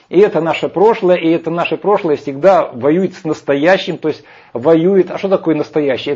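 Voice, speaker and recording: Husky man arguing emphatically. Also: a little high, darkish tone. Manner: emphatic, argumentative, rambling with hesitations. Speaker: speaker lecturing on Vedic scripture. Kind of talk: heated discussion. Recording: dull lo-fi sound.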